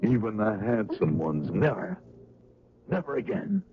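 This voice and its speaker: A man's voice: on the low side, wildly swooping pitch, measured pace. Lonely, obsessive man, tinny old radio. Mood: menacing. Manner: pleading, intense, insistent. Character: lonely, obsessive, self-loathing man, a police clerk, turning menacing. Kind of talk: 1970s radio drama acting. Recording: tinny lo-fi radio recording, faint noise.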